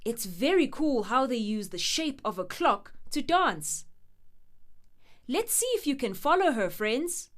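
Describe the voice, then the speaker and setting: A woman's voice: sits high, big pitch swings, unhurried. A woman playing a cheerful, encouraging friend to young children, presenting in simple, upbeat phrases. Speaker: children's show presenter character.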